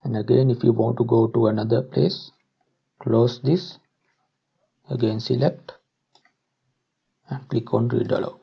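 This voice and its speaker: A man narrating a step-by-step software tutorial in a halting, instructional way, on a dull, muffled microphone. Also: medium pitch, fairly even intonation, gravelly, husky.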